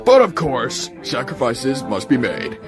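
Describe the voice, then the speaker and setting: A man's voice: medium pitch, huge pitch swings, a little slow, over music. A man voicing a pompous, scheming alien tycoon villain with an oratorical, condescending delivery. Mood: smug, grandiose.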